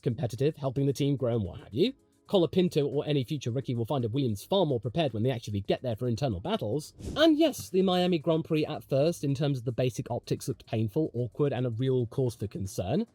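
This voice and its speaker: A man's voice: mid-range voice, very animated, brisk, warm tone, no echo. A man presenting opinionated motorsport commentary in a part-scripted, conversational video essay style, with emphatic delivery and rhetorical asides.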